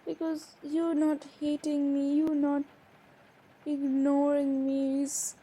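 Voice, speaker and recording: A woman's voice: sits high, monotone, slow, dark tone, low-quality recording. A woman playing a shy, flustered young woman in an improvised intimate romantic audio roleplay, stammering and hesitating as she talks. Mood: confused, overwhelmed. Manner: hesitant, stammering, breaking off and repeating words.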